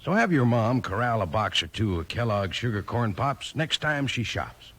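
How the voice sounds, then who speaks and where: A man's voice: medium pitch, big pitch swings, moderate pace, fairly bright tone, breathy edge. A man with an American accent giving a folksy, emphatic 1950s radio commercial. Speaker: commercial announcer. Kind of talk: 1950s radio ad pitch with cowboy slang.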